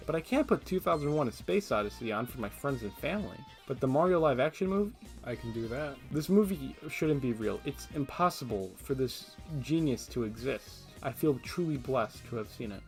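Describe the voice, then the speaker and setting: A man's voice: medium pitch, expressive, normal speed, few pauses. A man giving casual, opinionated scripted commentary as a video essayist, with a sarcastic streak. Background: background music.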